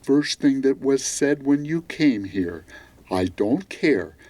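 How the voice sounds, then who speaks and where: A man's voice: mid-range voice, expressive, takes it slowly, a little mellow, dry recording. A man playing a resigned, stubborn radio programmer in an audio drama, blunt and dismissive. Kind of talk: audio theater mystery acting.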